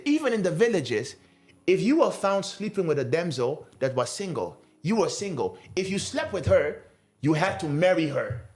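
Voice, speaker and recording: A man preaching in an earnest, urgent way, leaning on questions and repeating his words. His voice sits high and rises and falls a great deal. Soft music plays faintly underneath. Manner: earnest, rhetorical questions, repeats key phrases. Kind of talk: sermon.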